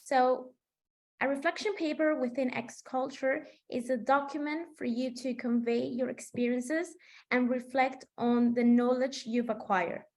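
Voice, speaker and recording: A sixteen-year-old girl from Peru presenting slides in an online meeting in a measured, explanatory way. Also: sits high, steady tone, darkish tone. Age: teenager, sixteen. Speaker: student presenter. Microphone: video call.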